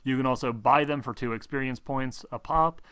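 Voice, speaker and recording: A man's voice: medium pitch, brisk, fairly bright tone, clear, resonant tone, no echo. A man acting as game master, narrating and explaining rules in a casual, rambling, informal way.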